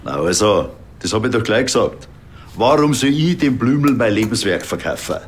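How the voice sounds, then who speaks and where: An actor playing a fussy, indignant, overprotective collector in a TV crime comedy. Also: male voice, fairly low pitch, big pitch swings, normal speed, a little hiss or hum. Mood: grumbling. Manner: pedantic, indignant.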